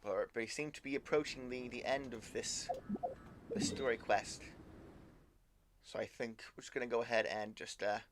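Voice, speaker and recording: A man's voice: medium pitch, steady tone, moderate pace, background noise. A man streaming a game live, reacting casually with hesitant, murmured commentary and repeated words.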